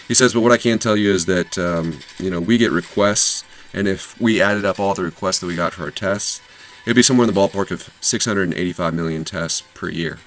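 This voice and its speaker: Deep-voiced man summarizing an earnings call. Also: fairly quick, slight background noise, poor audio. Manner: conversational, many fillers and self-corrections. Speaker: investing channel host.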